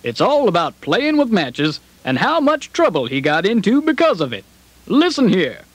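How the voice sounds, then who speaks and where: A man's voice: high-pitched, wildly swooping pitch, normal speed, slightly rough, heavily acted. A man voicing a hearty, folksy cowboy puppet, telling children a cautionary story. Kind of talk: children's storytelling over country music. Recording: roomy sound with loud country music.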